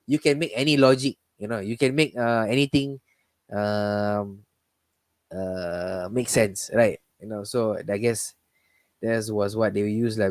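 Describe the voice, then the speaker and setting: A man's voice: lowish voice, no echo. A man hosting a casual live discussion, talking in a rambling way with many restarts and fillers. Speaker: host.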